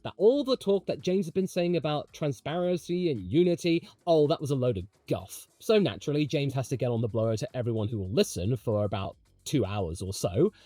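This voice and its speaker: Animated British man giving motorsport analysis. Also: a little high, dry recording. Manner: colloquial, slangy, emphatic storytelling. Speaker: motorsport video commentator. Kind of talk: opinionated race analysis commentary to audience.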